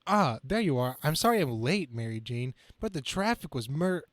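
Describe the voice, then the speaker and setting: An earnest young superhero, played by a man in a comic-book reading. He sounds anxious and boyish, a bit high-pitched, in a dry, close recording. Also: sing-song, normal speed, no room sound. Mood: apologetic.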